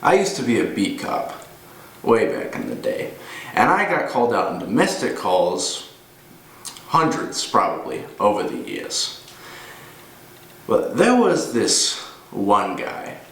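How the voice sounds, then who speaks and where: A man performing a dramatic monologue as a gruff, weary older ex-cop, grimly menacing, telling his tale deliberately with pauses. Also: on the low side, sing-song, fairly bright tone, exaggerated voice. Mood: grim reminiscing. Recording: roomy, distant mic with noise.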